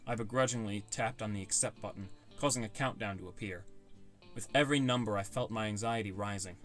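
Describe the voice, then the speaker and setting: A man's voice: on the low side, brisk, a bit bright, faint music behind. A man reading scripted first-person audiobook narration.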